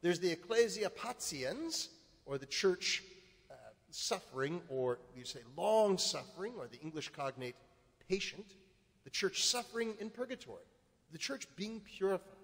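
A man's voice: high-pitched, big pitch swings, moderate pace, a bit bright, husky. A male priest preaching a sermon, building to emphatic, rising points. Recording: reverberant church hall.

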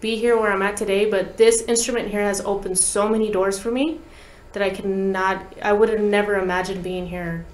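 A woman's voice: medium pitch, brisk. Slangy woman telling stories, roomy sound. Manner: slangy, animated storytelling with quoted dialogue. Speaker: guest musician, talk box player. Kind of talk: casual interview chat, telling anecdotes. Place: small podcast studio, indoors. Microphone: desk microphone. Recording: roomy studio echo.

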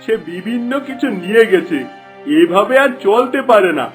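Man voicing a high-pitched aggrieved villager. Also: normal speed, faint music behind, natural voice. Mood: aggrieved, indignant. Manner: pleading, indignant. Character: aggrieved village shopkeeper, loudly complaining.

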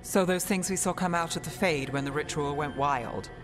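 A cautious, skeptical woman mage, played by a woman in a fantasy game, her words measured and dry. Her voice rings with a long hall reverb. Clear music plays behind her. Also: deep voice, natural voice. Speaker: party companion. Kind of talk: video game voice acting.

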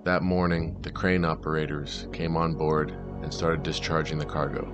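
A man's voice: very deep voice, level tone, normal speed, music in the background. A man in his thirties narrating his ship's video diary in an easygoing, conversational voice-over.

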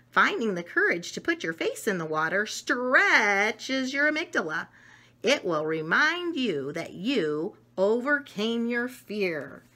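Woman, middle-aged, animated pitch swings, unhurried pace, children's storybook read-aloud, expressive. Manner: expressive, acting out emotions and small asides. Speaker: storybook reader. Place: room at home with wooden cabinets.

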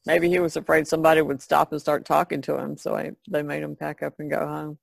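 An older woman talks in a casual, conversational way on a podcast. Her voice carries a good deal of creak. She is recorded dry, without room echo. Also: low-pitched, level tone, fast talker. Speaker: podcast co-host. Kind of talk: casual chat.

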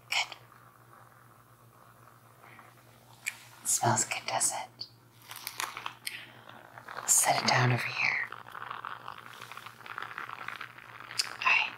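A young woman playing a doting, controlling psycho girlfriend in a hushed, coaxing ASMR role-play. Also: very deep voice, creaky, heavily acted, poor audio. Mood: gentle, faintly menacing. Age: young adult. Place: small dim indoor room.

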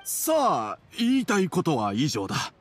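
A man playing a gruff but fond middle-aged father in an anime game, speaking in a measured, formal, slightly awkward way. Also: fairly high pitch, huge pitch swings, slight background noise. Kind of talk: Japanese anime game dialogue acting.

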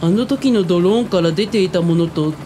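A woman playing a goofy, lovestruck young male helicopter pilot in a comic radio drama, excitable and comically polite. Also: brisk, natural voice. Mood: eager. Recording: noisy helicopter ambience behind voice. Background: helicopter rumble.

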